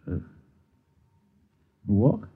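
A man's voice: drawn-out words, narrow, tinny audio. An American man acting a nervous, uneducated, easily riled landlord in a 1950s radio drama, hesitant and defensive. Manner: hesitant, defensive, plain-spoken. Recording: 1950s radio broadcast recording.

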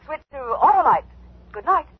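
A man voicing a cheerful character who pitches car parts in a 1950s radio commercial. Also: sing-song, normal speed, lo-fi sound. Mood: cheerful selling.

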